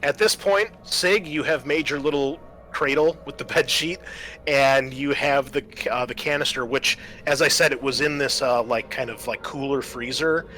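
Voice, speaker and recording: A man with a bright, forward voice narrates a tabletop roleplaying game as game master. His descriptions are improvised and conversational, with halting pauses. Music plays underneath. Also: mid-range voice, rough recording.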